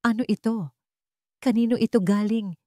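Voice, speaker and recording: A woman's voice: a little high, steady tone, normal speed, mellow, dry recording, clean studio sound, pitched above the performer's own voice, close to an everyday voice. A woman voicing a timid young female office employee, nervous and pleading, in a romantic audio drama. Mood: polite, uneasy.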